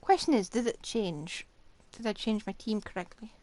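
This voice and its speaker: A woman's voice: mid-range voice, lively intonation, normal speed, darkish tone. A woman doing live gameplay commentary, thinking aloud with blunt remarks. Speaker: game streamer.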